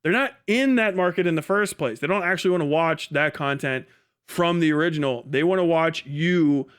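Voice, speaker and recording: A man's voice: a little high, moderate pace, fairly bright tone, clean tone. A man hosting a livestream, giving off-the-cuff commentary in an emphatic, repetitive, argumentative way with casual swearing. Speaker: live stream host.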